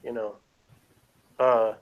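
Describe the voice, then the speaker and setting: A man's voice: mid-range voice, flat delivery, drawn-out words. On a dull, lo-fi recording, a man with a mid-range voice chats casually as a reviewer. He rambles and halts, leaning heavily on filler words as he works through his opinions. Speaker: review show panelist.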